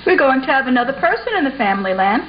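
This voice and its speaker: A woman voices a gentle, proud mother puppet in a high register. It sounds like homemade puppet theatre, slightly dull in the recording, with faint noise. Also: very animated, fast talker. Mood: tender, announcing. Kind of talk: amateur puppet show acting. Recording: muffled audio with faint background noise.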